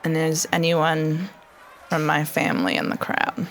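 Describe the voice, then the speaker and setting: A woman with a lowish voice playing a tabletop game, eager when she speaks in character. Faint noise hums under her. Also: monotone, measured pace, fairly bright tone. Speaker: player.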